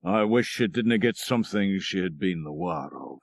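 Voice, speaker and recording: A man's voice: low-pitched, lively intonation, moderate pace, vocal fry, dry recording, clean studio sound, heavily acted. Man acting a tremulous, grief-stunned Scottish laird. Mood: mournful, dazed.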